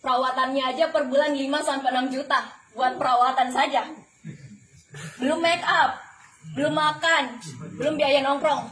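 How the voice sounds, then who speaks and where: High-voiced young woman giving an echoey testimonial. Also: fairly even intonation, moderate pace. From the Philippines, Malaysia and Indonesia. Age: 20s. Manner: emphatic, with rhetorical questions and repetition. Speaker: flight attendant giving a testimonial. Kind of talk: motivational testimonial to an audience. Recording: echoey, noisy room.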